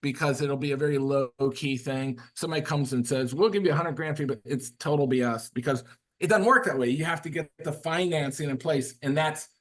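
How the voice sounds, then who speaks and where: A man's voice: on the high side, fairly quick. A man who is a guest author, talking casually on a video call, rambling with many fillers and restarts. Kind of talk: casual webinar talk.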